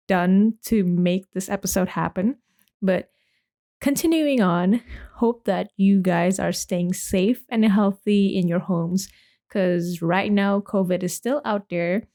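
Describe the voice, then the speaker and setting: A woman's voice: medium pitch, a little mellow. Casting: young woman from Indonesia, podcast host, chatty and rambling, casual solo talk. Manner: chatty, rambling, with many likes and restarts.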